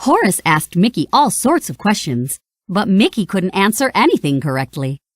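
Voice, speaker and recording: A woman reading a children's read-along story in an expressive, scripted storytelling style. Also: mid-range voice, moderate pace, no room sound, studio quality. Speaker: storybook narrator.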